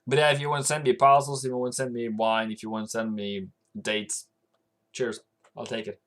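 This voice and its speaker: A man's voice: medium pitch, flat delivery, fairly quick, fairly bright tone. A man from Norway chatting casually on a trading livestream, rambling and answering viewers' comments as they come in.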